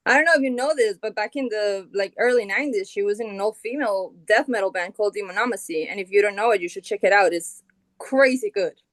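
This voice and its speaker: A woman's voice: mid-range voice, fast talker, a bit bright, dry recording, rough recording. A middle-aged woman from Colombia, a founding member of a band, chatting casually in an interview and thinking aloud with plenty of fillers and restarts.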